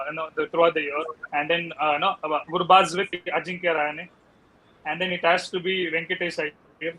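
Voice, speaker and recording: A South Indian man talking cricket on a casual panel, halting and often falling back on filler phrases. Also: high voice, bright, forceful tone, rough recording. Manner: halting, repeats "you know".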